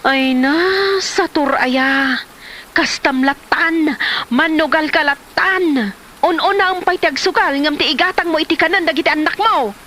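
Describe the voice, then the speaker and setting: Woman acting bright, high Ilocano village wife. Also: a little slow. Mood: scolding. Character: nagging, exasperated village wife, practical and wary. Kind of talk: Ilocano comedy drama acting.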